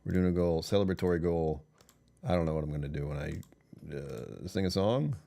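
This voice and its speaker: A man hosting a casual live stream, rambling and chuckling as he chats with viewers; he sounds tired and his voice is lower than usual. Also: normal speed, gravelly, mellow. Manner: rambling, chuckling, talking to chat. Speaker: livestream host.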